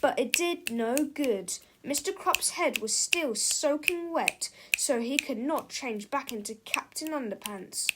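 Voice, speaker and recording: Female storybook reader: high-pitched voice, measured slow pace, dramatic read-aloud narration for children. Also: lively intonation, very noisy.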